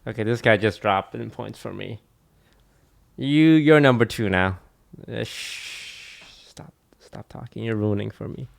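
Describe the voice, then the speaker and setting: A man's voice: fairly low pitch, unhurried, vocal fry, long pauses. A man streaming, reacting to a video with dry, blunt, teasing remarks. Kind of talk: casual live-stream commentary.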